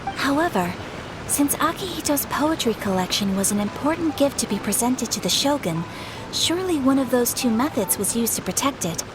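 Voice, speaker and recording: A woman playing a refined, courteous young noblewoman in an anime game, speaking in a polite, measured, formal way. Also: high-pitched, animated, music in the background. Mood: thoughtful.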